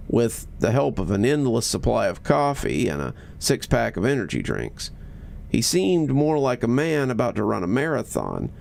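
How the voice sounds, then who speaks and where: A man reads a horror story as a dramatic narrator. His pitch moves in lively swings, and a creaky, fried edge runs through the voice.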